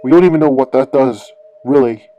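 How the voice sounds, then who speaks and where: A man's voice: mid-range voice, brisk, highs cut off, soft background music, lightly acted. A man playing a dutiful but sceptical junior police officer in an amateur audio drama.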